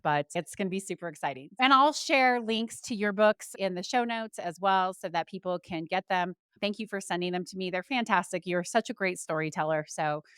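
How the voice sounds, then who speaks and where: A woman's voice: mid-range voice, a bit bright, clear, resonant tone, dry recording. Woman, podcast host, enthusiastic, friendly, dry studio sound. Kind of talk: podcast interview and outro.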